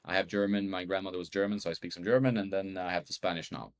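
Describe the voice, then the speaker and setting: A man with a fairly low voice talks in a relaxed, rambling way. He circles around his points with lots of fillers and restarts, speaking English in casual conversation. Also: quick pace. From Sweden. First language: Swedish.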